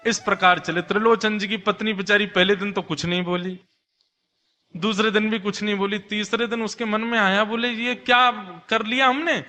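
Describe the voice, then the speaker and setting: High, bright male storyteller in a reverberant hall. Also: fairly even intonation, moderate pace. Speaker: devotional storyteller.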